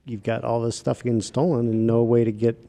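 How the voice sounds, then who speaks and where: A man's voice: medium pitch, level tone, fairly quick, vocal fry, warm tone. A man hosting a casual podcast conversation, chatty and agreeable as he asks questions. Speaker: podcast host.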